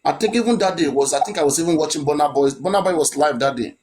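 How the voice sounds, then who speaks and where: A man's voice: fairly high pitch, fast talker, darkish tone. A man, giving his opinion in a chat and pressing his points with repeated rhetorical questions.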